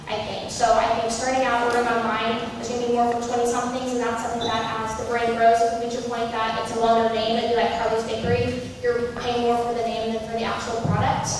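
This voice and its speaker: A woman founder answering judges' questions, hesitant with many fillers. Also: fairly high pitch. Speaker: student startup founder. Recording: echoey hall from far off, noisy.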